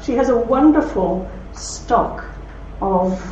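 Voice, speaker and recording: A woman with a broad Belfast accent speaking to an audience, telling anecdotes with warmth and laughter. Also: a little high, lively intonation, slow pace, a little hiss or hum. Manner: warm anecdotal storytelling with laughs. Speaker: speaker at a talk. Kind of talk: talk with audience questions. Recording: roomy hall from far off, dull.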